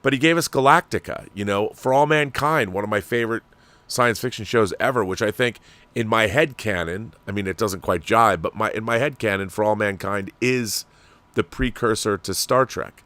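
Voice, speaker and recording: A man's voice: fairly low pitch, normal speed, sharp tone, dry recording. A man with an American accent hosting a livestream, talking casually and discursively, giving opinions and addressing viewers. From Washington state, United States. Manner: conversational and discursive, with rhetorical questions. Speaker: livestream host. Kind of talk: casual opinionated commentary, reading and answering viewer messages.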